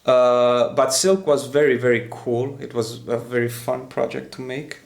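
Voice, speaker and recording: A man with an average-pitched voice full of gravelly fry. He hosts in a casual, explanatory way as a producer, often pausing and repeating words while he searches for the next phrase. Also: steady tone. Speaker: music producer and host. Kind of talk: casual explanatory talk to camera.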